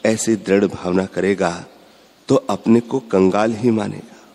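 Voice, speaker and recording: A man giving a measured, instructive spiritual discourse, repeating his points. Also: on the low side, fairly even intonation, dark tone. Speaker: spiritual speaker. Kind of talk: slow devotional discourse. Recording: long hall reverb.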